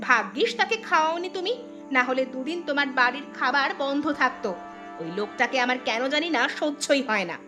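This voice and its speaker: A high-voiced village wife from a cartoon folk tale, acted by a woman. She nags and scolds in an exasperated, disdainful way, with music clearly playing behind her. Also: expressive, fairly quick. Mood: scolding, disdainful.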